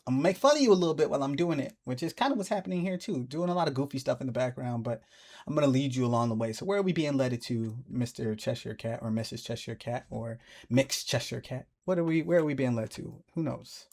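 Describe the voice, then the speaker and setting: A man talking casually as the host of a music reaction video, breaking down a song with animated asides. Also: fast talker. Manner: animated, jumps into high playful voices when excited.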